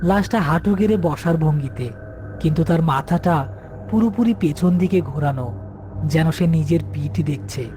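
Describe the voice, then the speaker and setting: A man's voice: fairly high pitch, moderate pace, dark tone, a little hiss or hum. A man narrating a scripted horror story as its storyteller. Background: background music.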